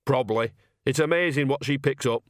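A man voicing a folksy old country witch in a storybook, chatty, blunt and emphatic, pitched a little up. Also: a little fast, dry recording, clean studio sound, close to an everyday voice. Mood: gossipy, frank.

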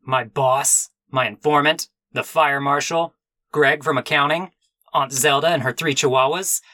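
An actor playing a sardonic, melodramatic hard-boiled private detective in a parody radio mystery. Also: male voice, a little high, fairly even intonation, a little slow, bright, forceful tone, no echo. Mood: bluffing.

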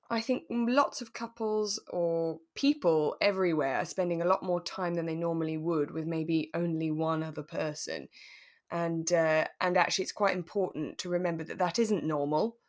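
A woman co-hosting a podcast in a relaxed conversational style, chatty and reflective, often ending on tag questions. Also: lowish voice, moderate pace. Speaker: podcast co-host. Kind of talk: relaxed conversational drama recap.

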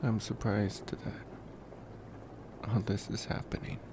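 A man playing a devoted, protective young college vampire in an intimate ASMR roleplay, speaking softly with musing pauses. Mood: tender, nervous. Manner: soft, unhurried, musing with long pauses. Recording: noisy, steady background rumble.

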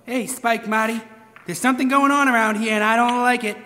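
An actor playing a nervous, complaining small-time pool hall owner in a live radio crime drama. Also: male voice, very high-pitched, steady tone, fairly quick, pushed and bright. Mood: worried. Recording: live stage in echoey hall.

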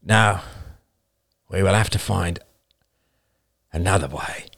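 A man's voice: low voice, slow pace, vocal fry, a bit bright, dry recording, studio quality, full character voice. A man voicing a weary, resigned clan leader with a grave, sighing manner in an audiobook story. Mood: weary, measured.

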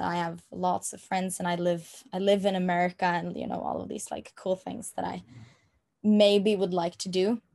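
Young norwegian woman, low flat voice, lots of ums and false starts. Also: gravelly. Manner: hesitant, many fillers and restarts. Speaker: interviewee. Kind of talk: casual interview talk. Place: room at home.

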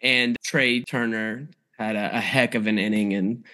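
An American man with a slightly hoarse, tired voice, hosting a podcast and chatting casually about sports. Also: fairly low pitch, steady tone, measured pace, pushed and bright, poor audio. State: a little tired and hoarse from cheering. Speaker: podcast host.

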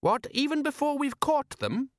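A man plays a naive young fisherman's son in a storybook. The voice is pitched well up from the reader's own, plaintive and anxiously questioning, with a dry, close sound. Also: big pitch swings, normal speed, dry recording. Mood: doubtful. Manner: high-pitched, querying, plaintive.

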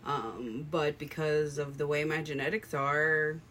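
A woman with a naturally low voice co-hosting a casual podcast, speaking candidly about herself. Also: steady tone, a little slow, a bit bright, a little hiss or hum. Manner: candid, personal. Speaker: podcast co-host. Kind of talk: casual discussion.

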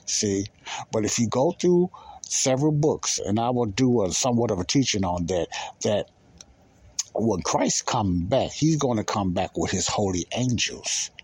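A man's voice: on the low side, animated, a little slow, a little mellow, breathy edge, dry recording. A man giving informal, emphatic Bible teaching as a podcast host, repeating key phrases and posing rhetorical questions.

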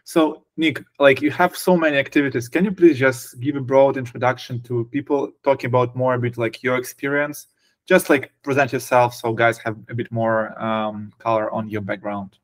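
A man's voice: mid-range voice, fairly even intonation, moderate pace. A man hosting a podcast, introducing a guest and asking questions in a hesitant way with many fillers. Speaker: podcast host. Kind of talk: casual interview hosting.

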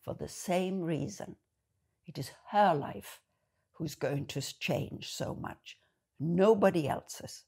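Slow, low-voiced German-accented woman. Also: gravelly, darkish tone, husky. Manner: slow, deliberate, explanatory. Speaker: speaker. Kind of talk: measured spoken commentary.

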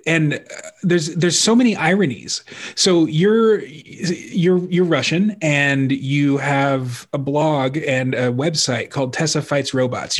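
A man hosting a podcast interview, halting and heartfelt, with many restarts. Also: fairly high pitch. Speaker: podcast host.

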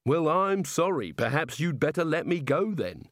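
A man's voice: on the high side, very animated, moderate pace, a bit bright, no room sound, studio quality, voice pushed up. A man voicing a hapless, anxious male prisoner in a comic audiobook, fretful, hesitant and sardonic. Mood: desperate, exasperated.

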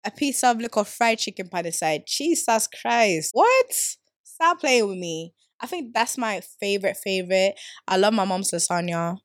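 A young woman from Sweden talks through her podcast questions in a loose, joking, slangy way, and her voice sits at an ordinary middle pitch. The sound is dry and close, with no room around it. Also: no room sound. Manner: animated, playful, slangy, quick to laugh. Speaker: podcast host. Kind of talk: casual solo podcast chat.

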